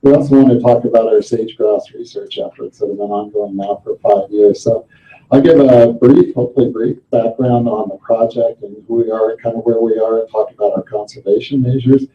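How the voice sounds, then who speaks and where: A soft-spoken man giving a formal company presentation into a microphone. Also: lowish voice, fairly even intonation, brisk, dull audio. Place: darkened conference room.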